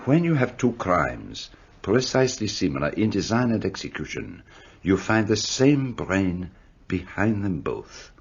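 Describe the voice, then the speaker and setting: A precise middle-aged detective: measured, formal, husky, dull lo-fi sound. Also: male voice, sits low, big pitch swings, heavily acted. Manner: measured, formal, faintly foreign phrasing. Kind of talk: radio play acting. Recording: dull muffled studio audio.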